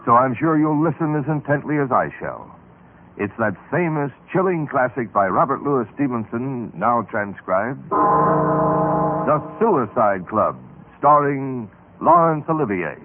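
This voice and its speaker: A man's voice: medium pitch, big pitch swings, unhurried. A man hosting an old radio mystery show with a theatrical, ominous introduction. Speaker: host. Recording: narrow old radio broadcast sound. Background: loud music.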